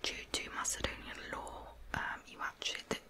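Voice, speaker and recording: A woman speaks entirely in a breathy whisper, narrating true crime for ASMR. Her delivery is soft and unhurried, explaining details step by step.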